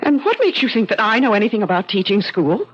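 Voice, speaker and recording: An actress playing a frightened, excitable woman in an old-time radio mystery, breathless and melodramatic. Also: medium pitch, fast talker, husky. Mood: indignant. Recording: very noisy, muffled vintage radio recording.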